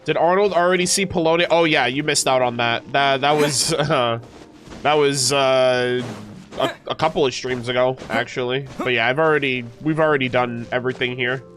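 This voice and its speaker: A man's voice: medium pitch, fairly even intonation, moderate pace, a bit bright, soft background music. A man streaming a game, chatting casually with viewers, slightly nasal and stuffed up, with many fillers and restarts.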